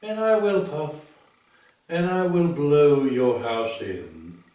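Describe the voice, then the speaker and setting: A man voicing a menacing storybook big bad wolf with booming, drawn-out demands. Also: lively intonation, a little mellow. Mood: loud threat. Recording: very echoey room, distant microphone.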